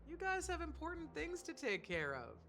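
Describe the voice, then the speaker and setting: A woman's voice: high voice, wildly swooping pitch, normal speed, over music, exaggerated voice. A woman playing an upbeat, encouraging companion in a video game, rallying friends. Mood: bright, rallying.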